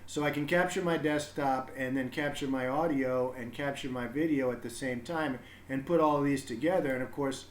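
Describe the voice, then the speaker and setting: A man's voice: medium pitch, moderate pace, few pauses, slight background noise. A man talking casually on a livestream, explaining things in a rambling way as he thinks aloud. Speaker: live streamer reading to children. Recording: roomy, echoing room sound.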